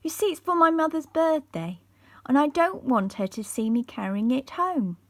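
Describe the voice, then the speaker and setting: A woman voicing an eager, polite young boy with a brisk, decisive manner in a bedtime story. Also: high-pitched, sing-song, voice pushed up.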